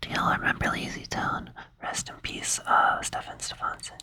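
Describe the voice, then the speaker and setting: A young man whispering in a rambling, playful ASMR style, with many fillers. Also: moderate pace. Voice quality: soft whisper. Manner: rambling, playful, says "like" a lot. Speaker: ASMR creator.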